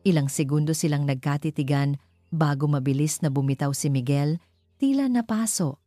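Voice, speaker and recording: Woman, Filipino accent, low voice, mellow dark tone, scripted romance storytelling, music behind. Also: normal speed, no room sound. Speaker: story narrator.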